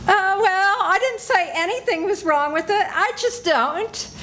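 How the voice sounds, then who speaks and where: An older woman playing a frightened, suspicious young woman in a radio play reading, anxious and halting. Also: very high voice, a bit bright. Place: senior center event room, live reading. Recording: noisy live room.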